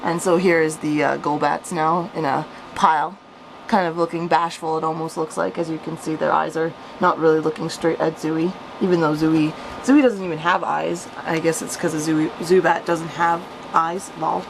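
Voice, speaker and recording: Woman w lowish creaky voice doing amused storytime commentary, pokes fun at herself, noisy room hum. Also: normal speed. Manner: self-mocking, chuckly, animated when reading. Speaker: storyteller, vlogger. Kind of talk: reading own childhood story with amused casual commentary.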